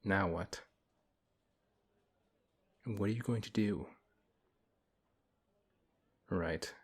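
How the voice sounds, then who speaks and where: A man playing a big, principled, gently protective paladin in a fantasy audio roleplay, speaking in a measured, earnest way with hesitant pauses and a husky voice. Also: low-pitched, heavily acted. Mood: serious, questioning. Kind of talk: fantasy audio roleplay, one-sided intimate conversation.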